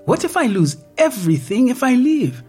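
A narrator voicing a distraught, defiant pregnant young woman whose lines jump to sharp, high outbursts. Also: big pitch swings, measured pace, slightly rough, faint music behind, full character voice. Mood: pleading.

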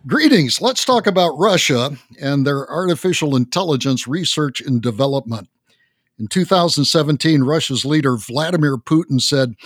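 Male podcast host, measured interviewer, slightly high voice, close dry sound. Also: no room sound. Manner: measured, asks follow-up questions.

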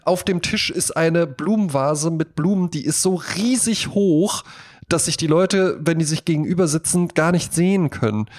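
A man co-hosting a podcast in casual conversation, telling things in an animated way and acting out what people said. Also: on the high side, normal speed, dry recording, studio quality. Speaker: podcast co-host.

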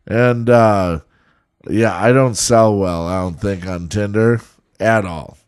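A young man co-hosting a podcast in crude, casual banter. His voice sits low and he talks slowly, with blunt, sarcastic and profane remarks. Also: clean tone. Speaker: podcast co-host.

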